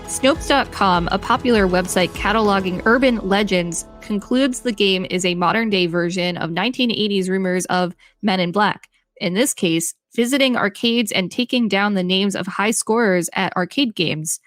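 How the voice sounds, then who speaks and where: A woman co-hosting a podcast, reading an encyclopedia article aloud in a clear, measured way with brief reactions. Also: medium pitch, a bit bright, no echo, faint music behind.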